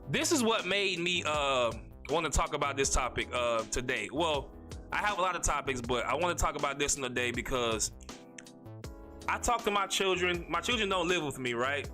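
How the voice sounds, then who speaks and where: A man from North Carolina, hosting a casual solo podcast in a rambling, slangy way, talking fast with a stutter. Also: a little high, expressive, sharp tone, faint music behind. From North Carolina, USA. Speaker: podcast host.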